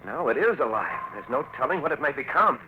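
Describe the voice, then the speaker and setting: Actor doing alarmed earnest guy in a 40s radio thriller, animated urgent delivery, noisy old recording. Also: mid-range voice, quick pace, narrow, tinny audio, natural voice. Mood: alarmed, resolute. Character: earnest, alarmed younger man, professor's associate. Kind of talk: 1940s suspense radio acting.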